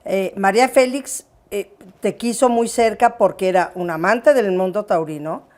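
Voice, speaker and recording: A woman's voice: medium pitch, normal speed, darkish tone. A woman interviewing a guest in a familiar, affectionate way. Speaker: interviewer, channel host. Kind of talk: friendly interview chat.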